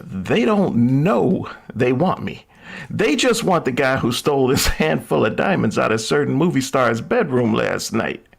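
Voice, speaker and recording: An actor playing a cocky, reckless young thief in a vintage radio drama, with a husky, gravelly voice and a flippant manner. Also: male voice, medium pitch, very animated, measured pace, full character voice. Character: reckless doomed young man, cocky thrill-seeking diamond thief.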